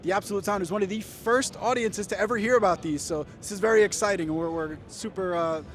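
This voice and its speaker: A man's voice: sits high, quick pace. A man explaining products off the cuff as a company representative, in an eager, explanatory way. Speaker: company presenter. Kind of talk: unscripted product presentation. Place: trade show. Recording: music behind the voice.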